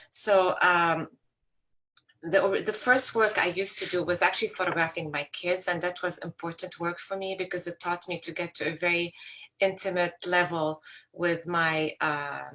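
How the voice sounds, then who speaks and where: A woman's voice: fairly low pitch, a bit bright. Lebanon-raised woman rambling about her photography. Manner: rambling, with self-corrections. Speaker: photographer guest. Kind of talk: casual talk about her work.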